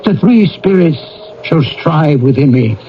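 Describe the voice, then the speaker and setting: An actor playing a reformed old miser in a theatrical radio drama, with an emotional, exclamatory delivery. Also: male voice, fairly high pitch, animated, a little slow, a little hiss or hum. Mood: desperate pleading. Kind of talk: theatrical radio drama acting with first-person narration. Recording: muffled old radio broadcast with music. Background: music.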